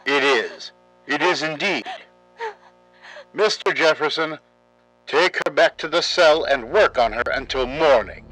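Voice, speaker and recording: A man playing a cold, commanding villain boss with a rough voice, giving orders in an animated drama. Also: fairly high pitch, a little slow, long pauses, no room sound, soft background music, full character voice, low-quality recording.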